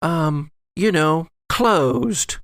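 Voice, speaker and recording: A man's voice: a little high, expressive, extremely slow, a bit bright, dry recording, clean studio sound, voice pushed up, exaggerated voice. A man voicing a wealthy, upset woman who speaks formally and pointedly in a tabletop audio drama. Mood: insistent, displeased. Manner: polite, pointed, formal wording.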